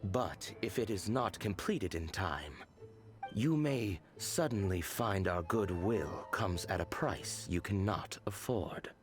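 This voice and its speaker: An actor voicing a cold, menacing male agent of a sinister order in a video game, speaking in a measured, quietly threatening way. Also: deep voice, expressive, music in the background.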